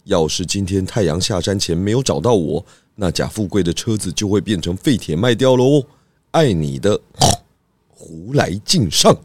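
A man playing a clever, composed pig detective in an audio drama, measured and theatrical. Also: low-pitched, expressive, a little mellow, slight background noise. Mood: reciting.

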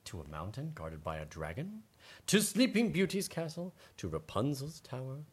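A man's voice: medium pitch, wildly swooping pitch, normal speed, husky. A man co-hosting a casual, playful story game, reading choices aloud and joking along in a teasing way.

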